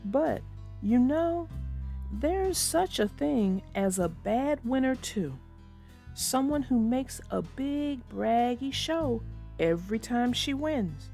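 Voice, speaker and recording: Woman voicing a slow, sensible mother bear. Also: fairly high pitch, animated, creaky, mellow, soft background music, heavily acted. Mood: patient. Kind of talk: children's storybook read-aloud.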